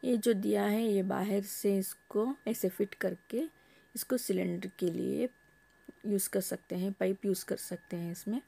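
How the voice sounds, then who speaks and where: A woman's voice: mid-range voice, fairly even intonation, a little slow, vocal fry, dark tone, slightly rough. A woman vlogger casually narrating an unboxing at home, explaining each step in a homely way. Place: home, table top.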